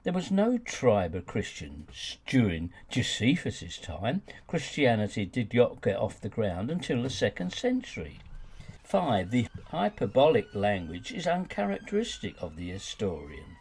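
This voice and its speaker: A man reading his own argumentative nonfiction audiobook as scripted narration, with an emphatic, rhetorical delivery and a gravelly, husky voice. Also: on the low side, very animated, measured pace, darkish tone.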